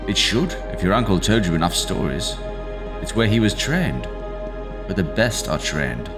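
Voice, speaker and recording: An actor playing a steady, protective military captain, measured and reassuring, in a science fiction audio drama. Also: male voice, deep voice. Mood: calm, wry. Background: music.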